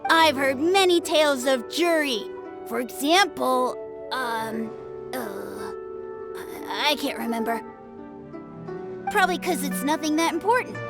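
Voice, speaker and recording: A woman's voice: sits high, expressive, slow pace, vocal fry, long pauses, over music, heavily acted. An actress voicing an eager, boastful little boy in a video game, childlike, bouncy and self-important. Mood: chatty, earnest. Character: eager, boastful little boy playing martial arts master. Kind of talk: scripted video game voice acting.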